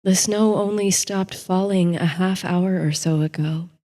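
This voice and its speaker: A woman narrates a sleep story like a guided meditation, in a soft, soothing, unhurried voice that turns breathy at the ends of phrases. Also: on the low side, steady tone. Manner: slow, soothing, unhurried delivery. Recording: soft music under the voice. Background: soft music.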